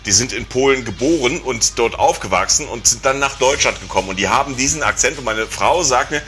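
A man's voice: medium pitch, lively intonation, fairly quick, bright, forceful tone, faint music behind. A middle-aged man chatting casually in a rambling, joking and emphatic way. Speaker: conversation participant. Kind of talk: casual chat.

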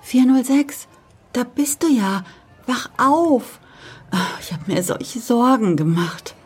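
A woman's voice: a little high, expressive, takes it slowly, a little hiss or hum. A woman playing a worried, tender robot owner in an audio drama. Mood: relieved, worried. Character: worried, tender young woman who owns a camera robot.

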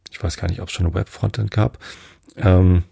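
A man from Germany, hosting a bedtime podcast in a casual, unhurried, meandering monologue, thinking aloud. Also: sits low, level tone, gravelly, dark tone, slightly rough, dry recording, clean studio sound. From northern Germany. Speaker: podcast host.

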